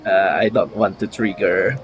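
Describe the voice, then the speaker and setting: A man doing casual live gameplay commentary in short, halting reactions. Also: low voice, steady tone. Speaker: game streamer. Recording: faint game music behind.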